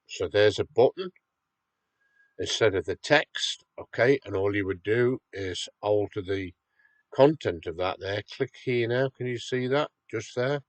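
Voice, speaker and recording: A man with a slightly nasal, stuffed-up voice from a cold talks through a software tutorial at an unhurried pace. His voice sits fairly low, and he keeps checking in with "okay" as he guides viewers. The sound is dry and close, with no room echo. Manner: patient, step-by-step, checks in with "okay". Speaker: website owner demonstrating a tool. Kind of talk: screen-recorded software tutorial.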